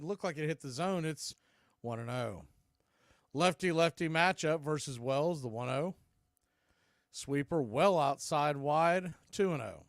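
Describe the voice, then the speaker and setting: A man does live baseball commentary as a radio host. His voice sits a little high for a man, and he calls the action in terse, spaced-out phrases. The sound is dry and close. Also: fairly bright tone, no echo.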